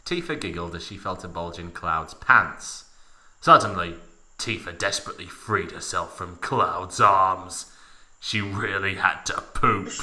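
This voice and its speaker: A man's voice: on the low side, bright, forceful tone. A man narrating a comic dramatic reading in a mock-serious, theatrical manner with heavy emphasis.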